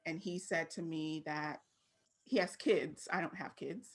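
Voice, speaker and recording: A woman from Texas with an American accent speaks in a fairly low voice. She talks casually as an interview guest, candid and informal in how she puts things. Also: fairly even intonation, measured pace. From Fort Worth, Texas, United States. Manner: candid, informal, frequently laughing. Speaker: interview guest, creative professional.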